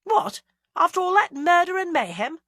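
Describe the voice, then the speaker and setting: Brisk, defensive middle-aged businesswoman, read by a woman narrator; bright, dry, animated. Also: very high-pitched, no echo, voice pushed up, full character voice. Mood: sharp. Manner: sharp, demanding, defensive.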